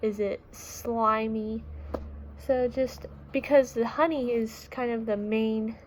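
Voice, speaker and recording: High-voiced woman reading to children, unhurried. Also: fairly even intonation, a little mellow, a little hiss or hum, low-quality recording. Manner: simple, questioning, explanatory, as to young children. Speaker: read-aloud storyteller. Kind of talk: children's picture book read-aloud with questions to listeners.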